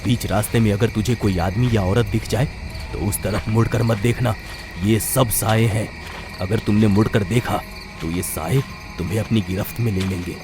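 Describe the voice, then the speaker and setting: Man as elderly mystic, low pitch, grave, resolute, emphatic, animated horror story, music underneath. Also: normal speed, close to an everyday voice. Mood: cautioning. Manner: solemn, warning, emphatic. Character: old male tantric holy man, grave, resolute. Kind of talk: dramatic horror story acting.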